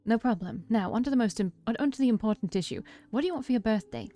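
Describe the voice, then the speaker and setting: A woman's voice: fairly high pitch, lively intonation, quick pace, dark tone, natural voice. A woman voicing a blunt, fond, protective older sibling in a story reading. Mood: teasing, fond.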